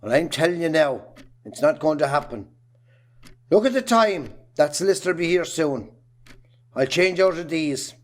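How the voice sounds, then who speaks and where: Casting: male amateur actor as middle-aged Irish farmer, jealous husband, scornful and hard, fairly high male voice. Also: lightly acted. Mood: mocking, harsh. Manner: scornful, hard, rapid lists of grievances. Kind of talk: amateur stage-play acting.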